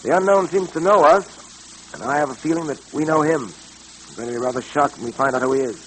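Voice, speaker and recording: An actor playing a serious, determined police detective in an old-time radio drama, businesslike in manner. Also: male voice, fairly high pitch, fairly bright tone, natural voice. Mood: pensive, resolute. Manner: measured wording, serious, businesslike. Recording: noisy old radio recording, hum.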